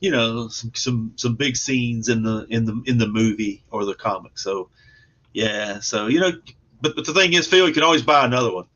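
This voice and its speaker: A man's voice: mid-range voice, normal speed, a bit bright, lo-fi sound. A man chatting casually as a guest comic artist, explaining things in an informal, rambling way. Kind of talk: casual chat.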